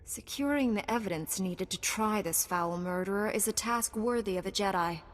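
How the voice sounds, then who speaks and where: A woman playing a calm, dutiful knight heroine in a video game, formal and self-assured. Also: mid-range voice, moderate pace, close to an everyday voice. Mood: composed, resolute. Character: composed, dutiful young female Jedi knight. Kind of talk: video game protagonist dialogue.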